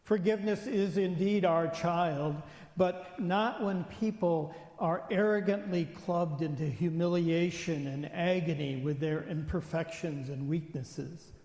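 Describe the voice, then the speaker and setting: A man acts out a gentle, steadfast brother embodying mercy in a church drama reading. He speaks slowly in a fairly high male voice, and the sound rings with long hall reverb. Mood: earnest, pleading.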